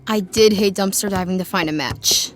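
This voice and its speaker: A woman's voice: medium pitch, moderate pace, a little hiss or hum, lightly acted. An actress playing a gossipy, breezy teenage girl with slangy, sarcastic speech. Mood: breezy, teasing. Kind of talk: casual audio drama dialogue.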